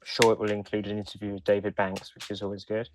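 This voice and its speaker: A man's voice: on the low side, completely flat delivery, fast, noisy background. A man co-hosting a podcast in casual conversation. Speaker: podcast co-host. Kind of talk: casual chat.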